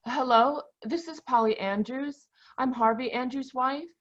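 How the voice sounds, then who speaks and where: Una mujer como esposa asustada. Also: female voice, high-pitched, a little slow, muffled recording. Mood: frightened. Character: frightened wife alone in a cottage.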